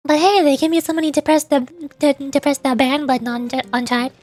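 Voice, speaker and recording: A woman's voice: sits high, brisk, darkish tone, no echo, soft background music. A woman streaming a game, chatting casually in a playful, teasing manner. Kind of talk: casual game livestream chat.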